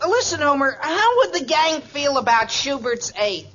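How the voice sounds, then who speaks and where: A man's voice: very high-pitched, sing-song, normal speed, a loud crowd. A man playing an earnest, exasperated teenage boy in an old radio comedy, on a noisy, muffled recording.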